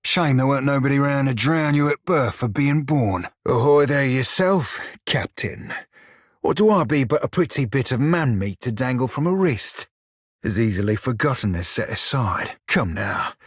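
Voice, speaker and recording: Roguish shiphunter, game character, rough husky voice, salty slang, cocky, dry studio sound. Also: male voice, medium pitch, expressive, normal speed, no room sound. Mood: gruff, scornful, then cocky, playful. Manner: salty archaic sailor slang, cocky and teasing. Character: swaggering, foul-mouthed blue-furred male pirate shiphunter, roguish. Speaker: video game companion character. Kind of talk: video game character dialogue and barks.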